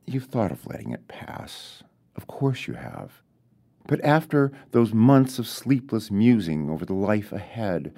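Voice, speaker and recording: A man reading his own literary fairy tale aloud in a measured, deliberate way, with dramatic pauses. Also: mid-range voice, mellow. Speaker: author reading his own short story.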